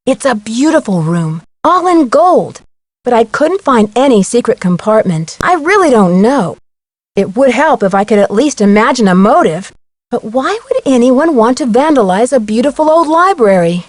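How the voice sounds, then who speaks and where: A woman's voice: fairly high pitch, sing-song, normal speed, no room sound. A woman voicing an inquisitive young female detective in a video game, speaking earnestly and neatly as she explains things. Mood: earnest, curious. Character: bright, inquisitive teenage girl amateur detective.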